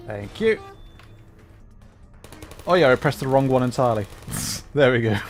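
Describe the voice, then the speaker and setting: A man chatting casually on a livestream while commenting on his gameplay, often thinking aloud haltingly. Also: medium pitch, lively intonation, faint music behind. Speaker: livestreamer playing a game. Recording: faint background noise, game ambience.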